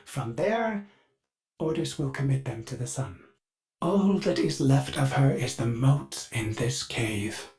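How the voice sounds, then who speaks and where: A man's voice: mid-range voice, expressive, slow, darkish tone. An actor playing a courteous, doting male ship AI who speaks of himself in the third person, with glitchy stutters, through a synthetic filter. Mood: dutiful. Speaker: ship's onboard assistant. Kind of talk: video game voice lines.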